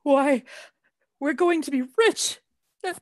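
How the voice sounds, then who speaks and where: A woman's voice: high-pitched, slow, no room sound, studio quality. A woman acting in a radio play as a playful, teasing grown son of the family. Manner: teasing, exuberant.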